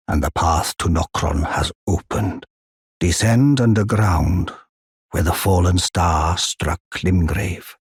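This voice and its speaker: A man voicing a gentle, wise giant blacksmith and war counselor in a fantasy game, speaking in a courteous, solemn, formal way with a deep, husky, gravelly voice. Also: no echo, clean studio sound, exaggerated voice. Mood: solemn, grateful. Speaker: video game character. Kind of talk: scripted fantasy game dialogue.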